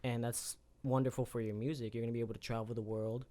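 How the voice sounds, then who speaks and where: A man's voice: mid-range voice, flat delivery, quick pace, warm tone. Encouraging man hosting a podcast. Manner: appreciative, encouraging. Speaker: podcast host. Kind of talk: casual motivational interview talk.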